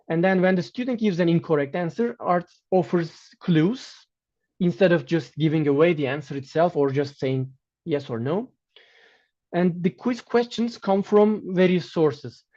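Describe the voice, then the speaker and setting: A man whose first language is Turkish, giving an academic lecture in English over slides, measured and pausing, often restarting his phrases. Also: a little high, warm tone, lo-fi sound. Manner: measured, with frequent restarts and fillers. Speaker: guest lecturer.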